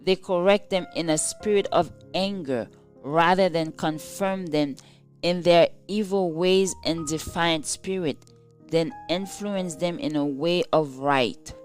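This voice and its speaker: Woman, devotional reader, slow pace, formal solemn delivery, scripted reading, faint music behind. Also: fairly low pitch. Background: soft instrumental music.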